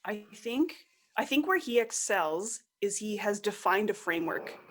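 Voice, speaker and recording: A woman's voice: mid-range voice, expressive, unhurried, fairly bright tone, a little hiss or hum. Enthusiastic woman podcast guest. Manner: enthusiastic, laughs often, acts out quotes. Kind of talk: casual conversational interview.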